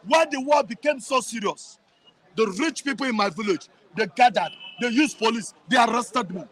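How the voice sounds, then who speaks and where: A man with a Nigerian accent and a high voice talks with passion and emphasis. He circles back over his phrases to drive a point home. He is speaking outdoors in a town. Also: moderate pace, fairly bright tone. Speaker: guest. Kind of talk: impassioned interview answers.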